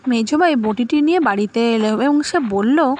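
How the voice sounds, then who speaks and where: A woman's voice: on the high side, animated, moderate pace, a little mellow. A woman narrator reading a scripted storybook tale for an animation.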